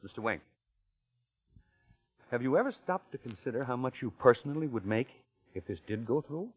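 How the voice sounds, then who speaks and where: A man's voice: medium pitch, lively intonation, moderate pace, close to an everyday voice. Suave, swooping genteel con man played by an actor. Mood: sly, suave. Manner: ornate, courtly, chuckling diction. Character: smooth, genteel male con man posing as professor. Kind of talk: old-time radio acting with first-person narration. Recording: muffled old radio recording, faint music.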